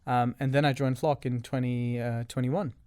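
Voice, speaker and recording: A man from Canada speaking as a podcast guest, with a smooth, clear voice in a dry, close recording. He is measured and breaks his explanations into ordered points. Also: mid-range voice, steady tone, darkish tone, dry recording. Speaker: guest, revenue operations leader. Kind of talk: interview answers.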